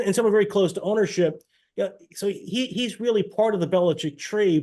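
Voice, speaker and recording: A man speaking as an interview guest, a sports broadcaster giving long, halting, carefully qualified analysis. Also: mid-range voice, darkish tone. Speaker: guest, team radio play-by-play announcer.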